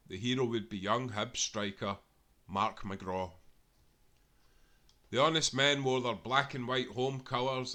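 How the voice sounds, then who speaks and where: A man's voice: lowish voice, sharp tone. A Scottish man reading aloud a football history tale, earnestly and with small self-corrections along the way. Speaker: narrator.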